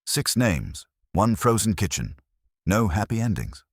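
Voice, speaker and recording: A man's voice: on the low side, sing-song, measured pace, dry recording, clean studio sound, pitched above the performer's own voice, heavily acted. A man voicing an imperious, cerebral master detective with a formal, clipped way of pronouncing in an audiobook story. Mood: terse, commanding.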